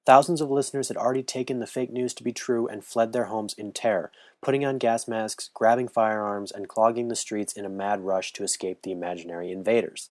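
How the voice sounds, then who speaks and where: Young man, history student narrator, scripted narration, low pitch, dry close sound. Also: fairly even intonation, a little mellow, no echo. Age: young adult. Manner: measured, earnest reading.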